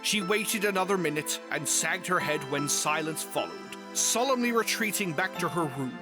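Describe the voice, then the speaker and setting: A male narrator reads a scripted story. His voice sits a little high for a man, and music plays clearly behind him. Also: animated, moderate pace.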